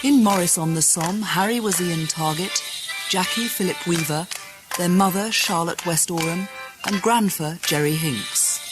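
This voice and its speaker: A woman's voice: fairly low pitch, measured pace. A woman with a British accent reading scripted closing credits as a radio announcer. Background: traditional music.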